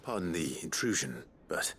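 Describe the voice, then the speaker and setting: A man playing a polite, resolute adventurer in a video game, plain-spoken and measured. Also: extremely low pitch, very animated, fairly bright tone, slight background noise, exaggerated voice. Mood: calm, determined. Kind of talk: video game cutscene acting.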